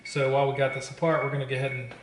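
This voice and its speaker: A man's voice: medium pitch, little pitch movement, racing. A middle-aged man narrating a casual how-to vlog, thinking aloud in a tentative way. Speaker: vlogger. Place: car interior and indoor workbench. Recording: noisy recording with clicking.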